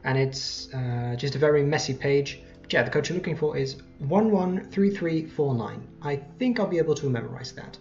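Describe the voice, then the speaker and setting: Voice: medium pitch, normal speed, hardly any pauses, a little mellow, muffled recording, faint music behind. A person giving casual let's play commentary, rambling and correcting themselves along the way. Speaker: gameplay host.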